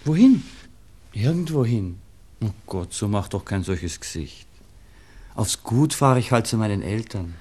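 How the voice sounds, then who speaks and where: Melancholy young viennese lover, husky breathy voice, slow tender talk, old radio drama. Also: male voice, fairly low pitch, lively intonation, darkish tone. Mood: evasive, restless. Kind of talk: 1960s German-language radio play acting.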